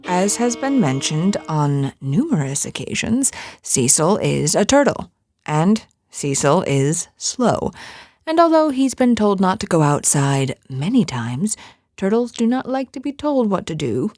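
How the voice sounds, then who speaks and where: A woman's voice: on the low side, big pitch swings, unhurried, darkish tone, no room sound, clean studio sound. Female storyteller over light guitar music. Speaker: narrator. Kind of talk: scripted storybook-like audio drama narration.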